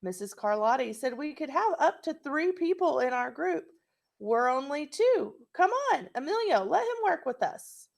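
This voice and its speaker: A woman's voice: high voice, sing-song, normal speed, creaky, voice pushed up, heavily acted. A woman reading the part of a friendly, plain-spoken young schoolboy in a storybook. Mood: coaxing.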